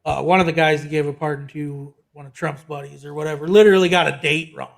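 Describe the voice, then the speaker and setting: A man with a slightly raised pitch, chatting casually on a podcast. He rambles, breaks into half-laughs mid-sentence and lets his thoughts trail off, leaning into blunt opinion. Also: fairly even intonation, fast talker, creaky. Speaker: podcast co-host. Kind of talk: casual political podcast chat.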